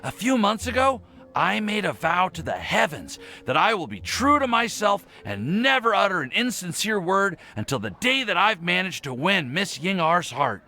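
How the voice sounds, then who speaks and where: A man's voice: high voice, very animated, moderate pace, bright, forceful tone, heavily acted. A man playing an earnest, flustered young alchemist in a video game, hesitant but formal in his wording. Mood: sheepish. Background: faint game music.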